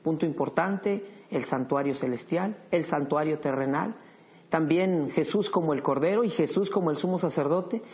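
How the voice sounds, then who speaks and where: A man hosting a Bible study program, leading the discussion in a measured teaching tone. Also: on the high side, a little mellow.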